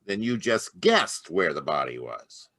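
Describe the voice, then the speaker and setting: A man's voice: sits low, wildly swooping pitch, measured pace, fairly bright tone, heavily acted. A man doing a brash police inspector. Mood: confident. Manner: casual, folksy, slangy. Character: brash male police inspector, plain-talking. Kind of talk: live online radio drama reading.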